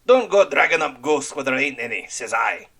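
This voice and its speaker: A man voicing Red Ram, a gruff, bossy pirate leader who growls and snaps at his crew. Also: mid-range voice, very animated, normal speed, fairly bright tone, voice pushed up. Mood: growling. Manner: growling, snapping, dismissive.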